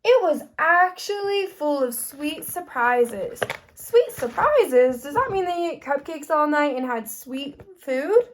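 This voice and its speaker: A woman teaching kindergarten through an interactive picture-book read-aloud, expressive, pausing to ask questions and explain. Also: high voice, a little hiss or hum.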